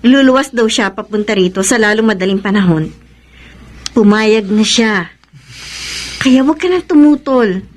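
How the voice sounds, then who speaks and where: Woman acting a high-voiced, determined young bride-to-be. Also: expressive, normal speed, pauses often, close to an everyday voice. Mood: defiant. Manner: emphatic, earnest. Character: determined, lovestruck young bride-to-be, firm and affectionate. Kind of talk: Filipino radio drama acting.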